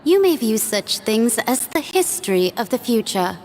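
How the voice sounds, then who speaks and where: A woman voicing a calm, mysterious woman guide in a video game, speaking unhurried with a playful edge. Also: on the high side, slight background noise. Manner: unhurried, softly teasing. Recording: long hall reverb.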